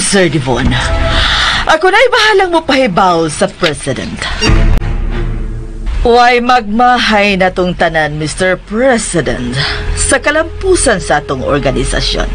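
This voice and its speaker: A woman playing a smug, scheming senator with a Cebuano accent, declaiming theatrically in a radio drama and mixing in English phrases. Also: lowish voice. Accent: Cebuano Filipino. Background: background music.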